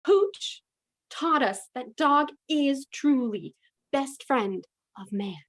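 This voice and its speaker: A woman's voice: high voice, expressive, slow. A woman narrating a comedic radio play with mock drama and sass.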